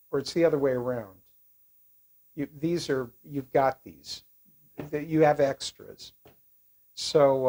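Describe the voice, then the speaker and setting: An older man giving a casual product review in a rambling, halting way. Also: a little high, darkish tone.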